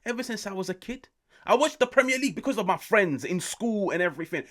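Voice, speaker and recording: Brit guy late 20s ranting about football, pitch jumps all over, loud then whispery. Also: fast. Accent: British. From United Kingdom. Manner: animated, swears often, swings between loud outbursts and near-whispers. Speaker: football channel host. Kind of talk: excited casual football reaction.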